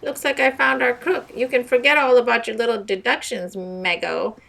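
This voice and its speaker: A woman's voice: a little high, steady tone, normal speed, creaky, a bit bright, recorded from a distance, voice pushed up. Woman acting a smug, crowing boy detective. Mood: triumphant. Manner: crowing, dismissive. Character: boastful, triumphant boy amateur detective.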